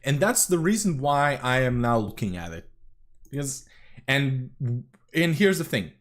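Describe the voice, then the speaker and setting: A man's voice: medium pitch, sing-song, measured pace. A young man from Serbia, rambling through casual reaction commentary as a streamer, swearing often and correcting himself.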